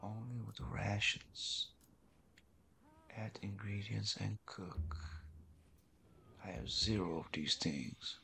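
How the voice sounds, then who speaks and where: A man's voice: fairly low pitch, takes it slowly, vocal fry, long pauses, bright, forceful tone, slight background noise. A man giving casual let's-play commentary, muttering slangy, sweary reactions in short bursts. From Netherlands. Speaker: gaming streamer. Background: game music.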